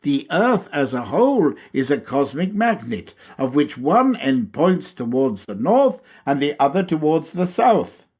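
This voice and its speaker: Male lecture reader: formal, didactic, unhurried and measured, with very varied intonation. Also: a little high, very animated, darkish tone, slightly rough. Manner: deliberate, measured phrasing. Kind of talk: scripted lecture narration.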